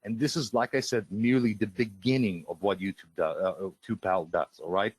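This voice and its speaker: A middle-aged man whose first language is Russian presents software on a live sales webinar, walking through features in an eager pitch full of fillers and restarts. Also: fairly even intonation, a little fast, slightly rough. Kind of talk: live sales webinar, screen-share walkthrough.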